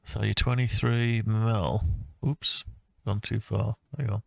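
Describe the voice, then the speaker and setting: Man, low creaky voice, flat intonation, hesitant, thinking aloud, informal design walkthrough. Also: no echo, studio quality. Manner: thinking aloud, hesitant, halting mid-phrase. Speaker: hobbyist maker presenting own design project. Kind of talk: informal screen-recorded CAD design walkthrough.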